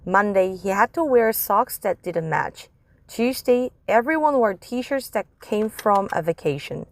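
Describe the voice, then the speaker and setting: A woman's voice: medium pitch, expressive, a little slow. A woman narrating a children's chapter book as a read-aloud. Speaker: storybook reader.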